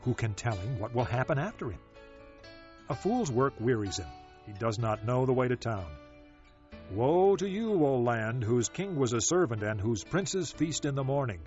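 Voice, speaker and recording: A man playing a solemn, reflective ancient sage who declaims scripture in a measured, expressive way. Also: mid-range voice, lightly acted. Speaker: audio Bible reader. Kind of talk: dramatized scripture reading. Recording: dull, lo-fi audio under music. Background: music.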